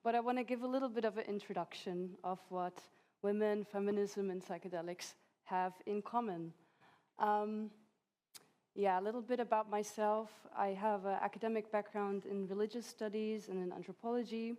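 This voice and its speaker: A woman's voice: mid-range voice, steady tone, moderate pace, warm tone. A woman in her thirties whose first language is Hungarian, giving an academic talk at a conference with light laughs between points. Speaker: conference speaker. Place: conference hall.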